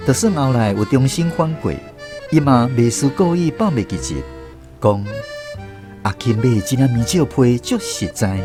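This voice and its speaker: A man's voice: medium pitch, expressive, normal speed. A man with a Taiwanese accent narrating a radio drama story. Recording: music under the voice. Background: instrumental music.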